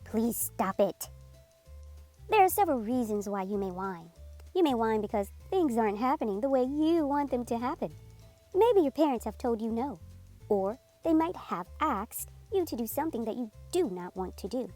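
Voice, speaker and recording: A woman reading a children's picture book aloud in a clear, gently instructive way. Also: fairly high pitch, expressive, normal speed, soft background music. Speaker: storyteller.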